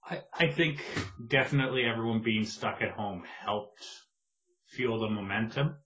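A man's voice: fairly low pitch, moderate pace, sharp tone, a little hiss or hum. A man co-hosting a podcast in casual chat, laid-back with dry teasing. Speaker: podcast co-host. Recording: dull lo-fi sound.

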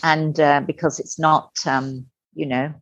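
A middle-aged woman telling her personal story as a podcast guest, candid and animated, acting out the voices she quotes. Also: low-pitched, unhurried, a little hiss or hum. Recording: dull lo-fi dry sound.

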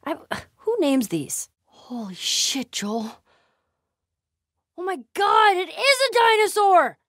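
A woman's voice: very high-pitched, very animated, slow pace, fairly bright tone, no echo, clean studio sound, full character voice. A woman with an American accent acting a tough, foul-mouthed, sardonic young survivor in a video game, in a naturalistic style. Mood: awed, excited. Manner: sweary, muttering, sardonic.

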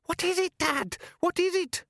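A man plays a naive young fisherman's son in a storybook. The voice is pitched well up from the reader's own, plaintive and anxiously questioning, with a dry, close sound. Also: very animated, measured pace, a bit bright, dry recording, exaggerated voice. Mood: alarmed. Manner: high-pitched, querying, plaintive.

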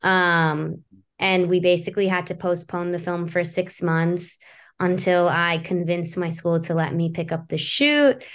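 Chatty young woman director, lowish voice. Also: fairly even intonation. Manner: quick, chatty storytelling with fillers. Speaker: writer-director guest. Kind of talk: casual interview answers.

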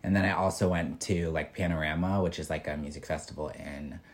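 Young guy with a super low voice rambling on a podcast, lots of um and like. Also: steady tone, brisk. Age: young adult. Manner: rambling, filler-heavy storytelling. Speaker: podcast co-host. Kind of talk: casual chat.

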